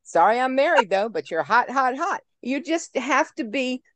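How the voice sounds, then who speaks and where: A woman's voice: sits high, sing-song, moderate pace, fairly bright tone, dry recording. Brassy older lady guest on a video call, playful and blunt, dry close sound. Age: over 50. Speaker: guest actress. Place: home room.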